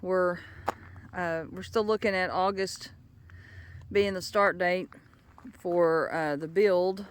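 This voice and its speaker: An older woman gives a casual vlog update outdoors. She talks in a folksy, halting, unhurried way, and her voice is a touch worn by a bronchial bug she is getting over. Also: fairly low pitch, steady tone, a little hiss or hum. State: recovering from a bronchial illness, voice slightly low. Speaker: vlog co-host. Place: outdoors on a hilltop.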